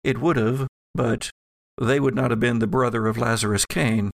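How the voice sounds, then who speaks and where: A man's voice: medium pitch, fairly even intonation, normal speed, gravelly, no echo, studio quality, natural voice. A man voicing an ancient female spirit made of ectoplasm in an audio drama game, musing evasively and searching for words. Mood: coolly persuasive.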